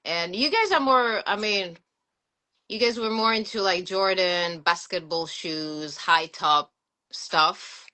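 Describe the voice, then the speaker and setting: A woman in her forties with a French accent, chatting casually as an interview guest, relaxed and full of fillers. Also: on the low side, slow. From France. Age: 40s. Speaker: guest, sneaker collector and teacher.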